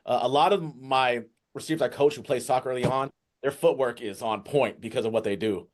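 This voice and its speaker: A man co-hosting a football podcast and coaching receivers, asking quick, stumbling questions. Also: mid-range voice. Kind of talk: casual interview.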